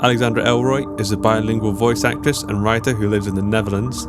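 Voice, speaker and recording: A man with a lowish voice reads the scripted closing credits of a podcast as its host. Loud music runs underneath him throughout. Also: steady tone, quick pace.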